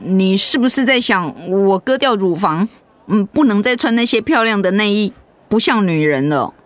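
An actress playing a sensitive, affectionate but easily hurt middle-aged wife in a radio drama, with a Taiwanese accent and a pouting, questioning manner. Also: female voice, mid-range voice, expressive, normal speed, close to an everyday voice.

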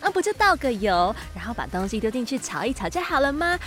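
A woman's voice: a little high, sing-song, fast talker, fairly bright tone. A woman acting as a carefree, coaxing young dorm mate in an audio drama, speaking Taiwanese Mandarin. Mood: breezy, cajoling.

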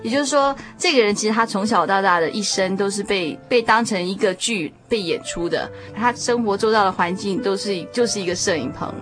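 A woman with a Taiwanese Mandarin accent hosting a radio discussion in a measured, explanatory way. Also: mid-range voice, a bit bright, soft background music. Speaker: host.

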